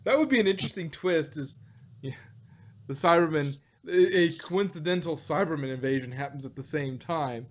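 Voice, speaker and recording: A man chatting casually about stories in a rambling, halting way, often repeating words as he works out a thought. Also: a little high. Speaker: podcast host reviewing audio dramas. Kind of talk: casual chat, fan speculation. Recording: noticeable background noise.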